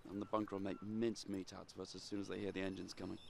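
Casting: loyal British wartime comrade, understated and wry, slightly deep, for a video game. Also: male voice, level tone, racing, vocal fry, darkish tone, a little hiss or hum. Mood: dry, wry. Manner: dry, understated. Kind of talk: video game war drama acting.

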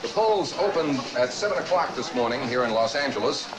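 Actor as a TV reporter, echoey room. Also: male voice, medium pitch, animated, a little fast, noisy background, lightly acted. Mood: formal, factual. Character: formal male television news reporter on election day. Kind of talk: on-location TV news report. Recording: roomy echo, music behind.

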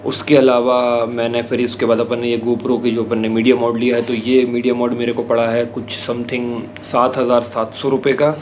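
A young man chats his way through his gear, explaining each step in a steady, flat-toned voice. The sound comes off an action camera's mic, slightly dull and lo-fi, with faint traffic noise underneath. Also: medium pitch, moderate pace, few pauses. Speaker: motovlogger. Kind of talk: casual gear-review vlog. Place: small home room indoors, later riding a motorbike on city streets. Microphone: action camera with attached mic.

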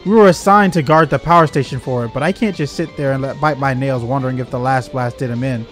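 A man voicing a fed-up, sharp-tongued young female villain grunt from a video game, exasperated and emphatic. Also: medium pitch, fast talker, close to an everyday voice. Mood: bitter, defiant. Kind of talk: voiced reading of game dialogue. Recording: game music behind.

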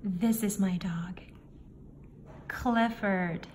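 A woman reading a picture book aloud to young children, in simple phrases with deliberate pauses. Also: mid-range voice. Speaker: children's story reader. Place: indoor room, book filmed on a table. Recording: faint room noise behind the voice.

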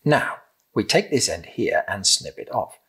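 A middle-aged British man with a low, slightly husky voice presents a craft demonstration. His delivery moves up and down with lively intonation as he gives simple, encouraging step-by-step instructions in a gentle manner. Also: a little slow. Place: indoor room with timber-framed walls, at a table.

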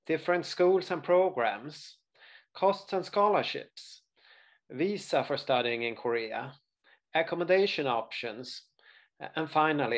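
Swedish man presenting a webinar, gravelly. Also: mid-range voice. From Sweden. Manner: earnest, frequent uh fillers and restarts. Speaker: study abroad advisor. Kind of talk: webinar presentation over slides.

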